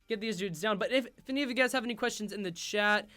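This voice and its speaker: A young man hosting a gaming livestream, rambling with lots of fillers and going hoarse. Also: high-pitched, fast talker, bright, forceful tone, faint music behind. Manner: rambling, filler-heavy, self-interrupting. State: losing his voice. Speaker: host. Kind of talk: casual livestream chat while gaming.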